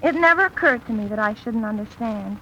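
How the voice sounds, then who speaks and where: A woman playing a sweet but shrewd teenage girlfriend, earnest and gently pointed, in 1930s radio comedy style. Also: fairly high pitch, lively intonation, a little fast. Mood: gently skeptical. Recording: dull, noisy 1930s radio recording.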